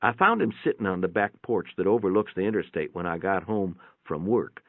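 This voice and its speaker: A low-pitched male narrator reads a first-person story in a folksy, conversational way. The recording is narrow and radio-like, and dry, with very little room around the voice. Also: brisk, dry recording. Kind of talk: dramatic reading of a first-person short story. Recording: thin, band-limited, radio-like sound.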